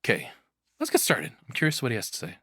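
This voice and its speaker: A man's voice: mid-range voice, huge pitch swings, fast, vocal fry, a bit bright, slightly rough, dry recording, studio quality. A man doing casual live reaction commentary in a rambling, frank, crudely humorous way. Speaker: reaction streamer.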